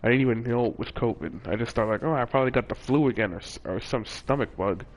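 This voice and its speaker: Young man, casual storytelling, rambling, lots of fillers, middle pitch. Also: fast talker, low-quality recording. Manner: rambling, with frequent fillers and self-corrections. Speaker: vlogger.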